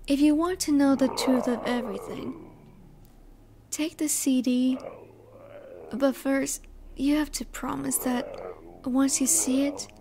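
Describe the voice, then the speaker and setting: A woman acting an obsessive, possessive scientist in an audio roleplay, coaxing the listener with drawn-out words and breathy drops toward a whisper. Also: sits high, noisy background, heavily acted. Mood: taunting.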